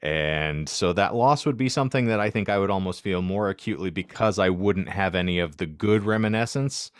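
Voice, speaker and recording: A man's voice: low voice, no echo, clean studio sound. A man co-hosting a podcast, telling stories casually in a wordy, wry, self-deprecating way with ornate vocabulary. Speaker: podcast co-host.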